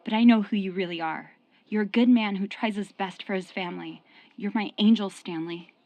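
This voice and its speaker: An actress in a radio drama playing a loyal, forgiving young sweetheart with a firm streak. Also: female voice, mid-range voice, moderate pace, a little mellow, highs cut off, natural voice. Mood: firm, loving.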